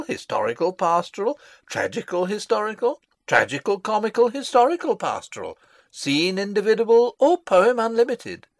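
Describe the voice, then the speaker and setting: A pompous old counsellor in a Shakespearean drama. His pitch swings wildly from a highish base as he declaims in a fussy, long-winded manner. The sound is close and dry. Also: no echo, heavily acted.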